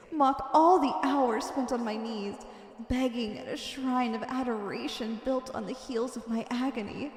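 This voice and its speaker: Villainess, played by a woman, fairly high voice, wicked and obsessively devoted, ornate theatrical monologue for ASMR roleplay, reverberant hall sound. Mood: scornful, wounded devotion. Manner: grandiose, ornate, fervent delivery. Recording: echoey, long reverberant hall effect.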